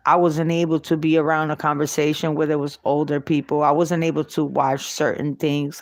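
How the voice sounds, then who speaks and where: A middle-aged woman with a New York Puerto Rican accent, telling her life story off the cuff in short, halting phrases with long pauses. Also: low voice, darkish tone, dry recording. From Puerto Rico and New York. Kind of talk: unscripted personal storytelling.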